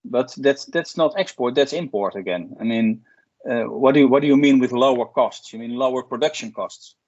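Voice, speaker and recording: A man's voice: mid-range voice, normal speed, darkish tone, no room sound. A man, an export coach giving a plain-spoken online workshop talk with many restarts and fillers. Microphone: video call. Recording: dull lo-fi video call audio.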